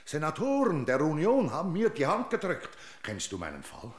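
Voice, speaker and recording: An actor playing a broken, deranged old general in a German radio drama, rambling, pleading and grandiose. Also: male voice, fairly high pitch, huge pitch swings, moderate pace. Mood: insistent pleading. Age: older.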